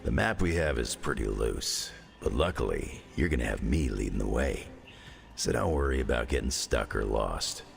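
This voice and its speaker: An actor playing a gruff, wisecracking clawed mutant veteran in a video game cutscene, with a sarcastic, joking manner. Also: male voice, expressive, moderate pace, faint music behind, full character voice. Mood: cocky. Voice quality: gravelly, very deep.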